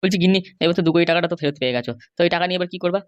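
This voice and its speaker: A man's voice: high voice, rapid-fire, clean tone, dry recording, muffled recording, close to an everyday voice. A man voicing a henpecked, pleading middle-aged cartoon husband with a West Bengal accent. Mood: wheedling. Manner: wheedling, grumbling. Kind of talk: comic cartoon dialogue.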